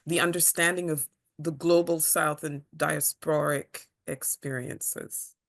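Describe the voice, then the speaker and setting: A woman from Kenya, interviewing a guest over a video call, halting as she searches for words. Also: low voice, fairly even intonation.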